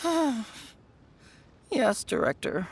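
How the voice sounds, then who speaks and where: Meek, highish-voiced exasperated employee. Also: female voice, very animated, drawn-out words, slightly rough, full character voice. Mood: hesitant, resigned. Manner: hesitant, deferential. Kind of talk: video game character acting.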